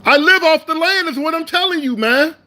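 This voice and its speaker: Loud, boisterous man with a high, lively voice. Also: fairly quick.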